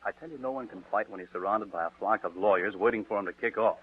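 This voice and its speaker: A stammering scheming nephew. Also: male voice, lowish voice, fast, husky. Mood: earnest. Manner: earnest and stammering. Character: scheming young male nephew feigning devotion. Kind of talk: 1940s radio acting. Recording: tinny old radio broadcast.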